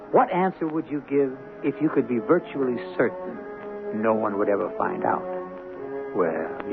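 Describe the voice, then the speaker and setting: A man hosting a radio drama with theatrical scripted narration, musing in deliberate rhetorical questions. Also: on the low side, gravelly. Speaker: host and narrator. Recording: narrow-band old radio broadcast. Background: music.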